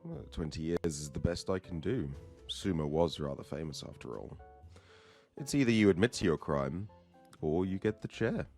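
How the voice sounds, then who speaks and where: A man voices a smug courtroom prosecutor in an audio drama with a very deep voice. He is formal and menacing, gloating over his opponent, with faint music behind him. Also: very animated, moderate pace, darkish tone. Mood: coldly pressuring. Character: gloating, cynical male prosecutor out for conviction.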